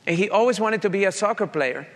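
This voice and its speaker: A man with a Colombian accent and a slight Italian touch speaks earnestly to an audience. He tells a personal story in a fairly high voice. The sound carries a long hall reverb. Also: expressive, quick pace, fairly bright tone. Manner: earnest, direct, addresses audience. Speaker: motivational speaker. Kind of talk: motivational talk with personal story.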